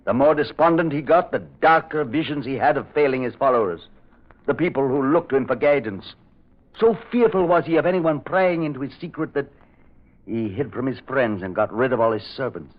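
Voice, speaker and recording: A man plays an anguished, loyal old-soldier type in a radio mystery, guarded and grave as if confessing. His mid-pitched voice comes through a dull vintage recording. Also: animated, fairly quick, natural voice. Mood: weary, grave. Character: guilt-burdened loyal male war veteran, secretive and anguished.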